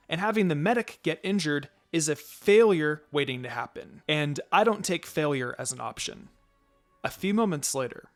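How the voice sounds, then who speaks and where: A man narrates a first-person story from a script. His voice sits a little higher than most men's, and soft music plays behind the reading. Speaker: fan fiction reader.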